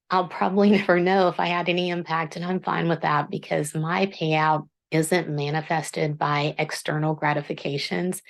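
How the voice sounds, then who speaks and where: Low, gravelly woman musing earnestly. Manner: earnest, measured, self-deprecating. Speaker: author and book channel host. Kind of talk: reflective scripted monologue.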